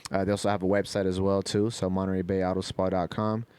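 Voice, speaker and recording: A man's voice: deep voice, little pitch movement, quick pace, a little mellow, smooth. A man with an American accent talking casually and slangily as a podcast host. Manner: slangy, informal. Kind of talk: casual chat and sponsor shout-outs.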